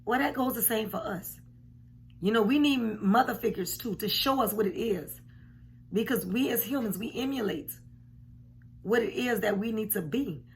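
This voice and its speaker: Woman, forties, hoarse home vlogger; frank and emphatic, faint hum under the camera audio. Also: fairly high pitch, moderate pace, rough recording. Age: 40s. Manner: frank, emphatic, repeats herself. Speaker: commentary vlogger. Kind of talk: casual reaction commentary. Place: home room indoors. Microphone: camera at desk distance.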